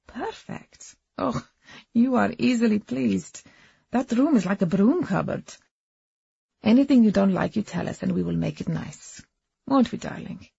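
A woman voicing a proud, softly steely young wife and hostess in an audiobook, with a soft Eastern European accent. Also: medium pitch, lively intonation, measured pace, creaky, breathy edge, no echo, muffled recording, pitched above the performer's own voice, full character voice. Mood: breezy, welcoming.

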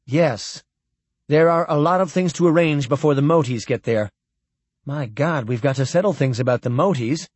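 A man voicing an excited young noblewoman who rattles off plans. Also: on the high side, breathy edge, dry recording.

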